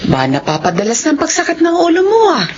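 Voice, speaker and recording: A woman's voice: high-pitched, huge pitch swings, fast, noisy background. An actress playing an easygoing, apologetic mother in a radio drama, with a coaxing, chatty manner. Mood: sheepish, affectionate. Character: easygoing, apologetic bingo-loving mother of grown children. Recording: muffled radio broadcast over faint music.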